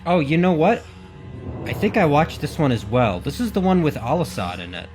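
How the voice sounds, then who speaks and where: A man's voice: on the high side, big pitch swings, moderate pace, darkish tone, faint music behind. A man giving casual, speculative commentary as a gaming reaction host, often questioning his own thoughts. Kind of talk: casual commentary, thinking aloud.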